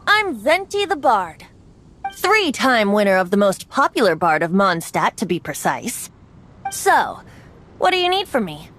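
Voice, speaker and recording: A woman's voice: medium pitch, big pitch swings, unhurried, fairly bright tone, slight background noise. A woman voicing a youthful, boyish male bard in a video game, whimsical and theatrical, with a playful, wistful lilt. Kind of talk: video game character acting. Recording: music behind the voice.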